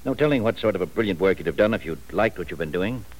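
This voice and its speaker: A man playing a manipulative professor in an old radio drama, smooth and patronizing. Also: low voice, lively intonation, fast talker, rough recording. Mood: cool. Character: scheming older professor, cool and manipulative. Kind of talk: 1940s radio acting. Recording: faint hiss of an old radio recording.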